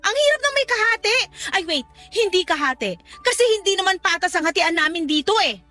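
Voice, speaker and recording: Bright, high Filipino actress as jealous girlfriend. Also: lively intonation, brisk, faint music behind, full character voice. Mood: jealous, bitter. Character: jealous, fed-up girlfriend sharing one man. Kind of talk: Tagalog radio drama acting.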